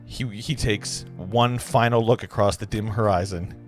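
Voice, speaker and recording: Male narrator, lowish voice, reading scene directions with occasional stumbles over faint music. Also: steady tone, normal speed. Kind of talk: reading stage directions at a table read.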